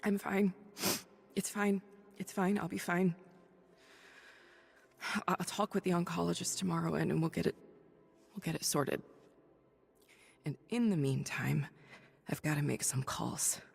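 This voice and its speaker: Game role: weary, resolute sick heroine, slow and husky delivery, set in long reverb. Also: female voice, lowish voice, steady tone, heavily acted. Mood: weary resolve. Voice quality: husky, breathy, creaky. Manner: halting, confiding, resolute. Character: ailing woman doctor turned hero, stubborn, weary, defiant. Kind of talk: dramatic video game acting, audio log monologue.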